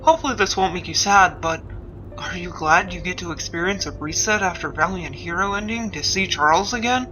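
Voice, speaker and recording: A woman's voice: on the low side, brisk, pushed and bright. A woman voicing a considerate, curious disembodied voice inside a hero's head in a comic fan dub. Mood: curious, careful. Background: music.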